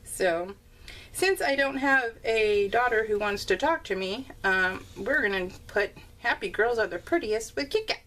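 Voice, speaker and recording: A woman's voice: on the high side, animated, measured pace, a bit bright, low-quality recording. Chatty middle-aged woman, casual and rambling. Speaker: craft vlogger presenting her scrapbook layouts. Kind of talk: casual, unscripted chat to viewers while showing a scrapbook.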